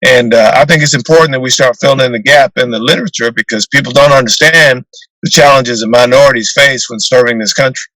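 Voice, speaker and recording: Older man with a deep voice, dry sound. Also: bright, forceful tone, no room sound, low-quality recording. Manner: measured, with fillers and restarts. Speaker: retired naval officer and author. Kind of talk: interview answers.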